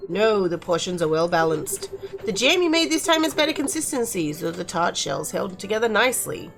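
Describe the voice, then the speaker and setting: A woman's voice: mid-range voice, sing-song, fairly quick, vocal fry, faint music behind. A woman voicing a reserved, exacting young cook in a visual novel, speaking in a curt, matter-of-fact way. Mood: measured, fussy.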